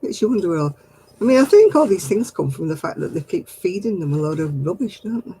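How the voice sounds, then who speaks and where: A woman's voice: on the low side, sing-song, fast, mellow, breathy edge, rough recording. An older woman with a mixed Oldham and Manchester accent, chatting casually to live viewers in a rambling, homely way and chuckling often. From Royton, Oldham, England. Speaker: livestream host. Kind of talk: casual chat answering live comments.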